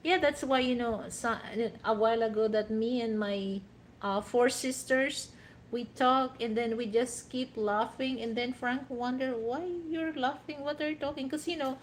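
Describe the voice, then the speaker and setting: A woman's voice: on the high side, steady tone, moderate pace, a little hiss or hum, rough recording. A Filipino woman hosting a livestream, chatting casually and rambling with many "you know" fillers. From Philippines. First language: a Philippine language other than Tagalog. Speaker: livestream host.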